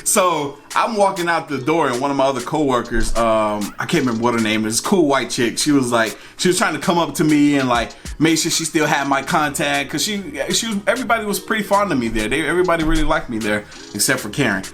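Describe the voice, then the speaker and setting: A man's voice: a little high, quick pace, hardly any pauses, soft background music. An American man telling a personal story in a casual, conversational way, acting out bits of dialogue and repeating phrases for emphasis. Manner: animated retelling, acting out dialogue. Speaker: storyteller and vlog host.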